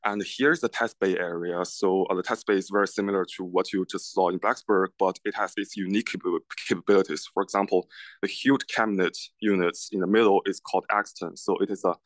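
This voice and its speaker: A young man with a low voice shows visitors around a lab in an informal way. He speaks haltingly, often repeating words, and the sound is fairly dry. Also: steady tone, no echo. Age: young adult. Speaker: graduate student tour guide. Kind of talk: informal guided lab tour. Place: large open indoor lab.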